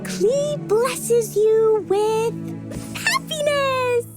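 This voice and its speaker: Very high-voiced bubbly little girl. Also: extremely slow, heavily acted. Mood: happy, eager. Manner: childlike, bubbly, speaks of self in third person. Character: Klee, cheerful mischievous innocent little girl. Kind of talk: video game voice acting. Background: gentle game music.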